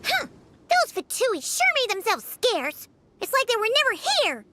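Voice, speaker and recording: A woman voicing a tiny, bubbly, childlike fairy companion in a video game, excitable and cartoonish. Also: extremely high pitch, normal speed, noisy background, full character voice. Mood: chatty. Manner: childlike, refers to self in third person. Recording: game music behind the voice.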